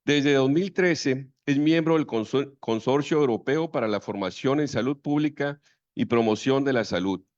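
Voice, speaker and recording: A man's voice: medium pitch, moderate pace, a little mellow, no room sound. Man reading a formal introduction. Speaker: moderator. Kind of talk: reading a speaker's biography. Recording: dull dry sound.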